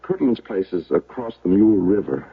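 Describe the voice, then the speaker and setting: An actor playing a self-pitying accused man in a radio drama, speaking in a halting, stammering, troubled way. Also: low-pitched, expressive, slightly rough, heavily acted. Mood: anxious, defensive. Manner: halting, stammering, agitated then dejected. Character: defeated, self-pitying accused man, a failed small-town bookseller. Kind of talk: 1970s radio drama acting. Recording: tinny, band-limited old radio recording.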